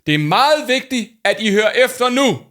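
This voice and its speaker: A man voicing a big, self-important adult man who is always hungry and shouts his announcements with great solemnity. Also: lively intonation. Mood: booming. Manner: booming, dramatically solemn shouting.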